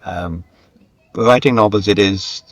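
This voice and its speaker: A man's voice: lowish voice, slow, dry recording. A man speaking as an interview guest in a conversational style, thinking aloud and often repeating his words. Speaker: guest, screenwriter and novelist.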